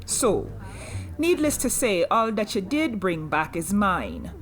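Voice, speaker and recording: An actress playing a sardonic, commanding pirate captain in a fantasy audio drama, curt and mock-polite. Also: female voice, medium pitch, sing-song, slow. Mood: sardonic, menacing.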